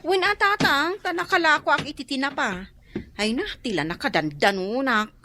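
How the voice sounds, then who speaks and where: A woman's voice: sits high, animated, normal speed, background noise. A woman playing an exasperated, nagging elder sister in an Ilocano radio comedy-drama, speaking emphatically. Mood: fretful. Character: exasperated, scolding elder sister who sells smoked fish.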